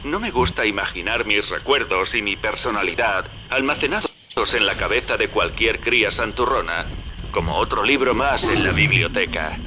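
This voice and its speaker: A man's voice: fairly low pitch, animated, moderate pace, background noise, close to an everyday voice. An actor with a Castilian Spanish accent playing a folksy, wry schemer who guides a younger man in a video game dub. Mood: wry, musing. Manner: familiar, wry, directing.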